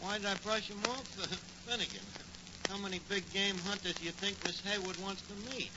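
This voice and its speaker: An actor playing a brash, smooth-talking New York tavern manager who mangles his grammar in 1940s radio comedy. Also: sits high, normal speed, close to an everyday voice. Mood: scheming. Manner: mangles grammar, mock-formal flattery. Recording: noisy muffled 1940s radio recording.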